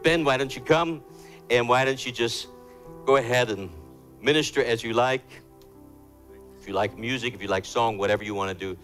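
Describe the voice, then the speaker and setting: A man's voice: medium pitch, normal speed, long pauses. A man interpreting a sermon live in short consecutive phrases as host at a church service. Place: church service over a microphone. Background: soft worship music.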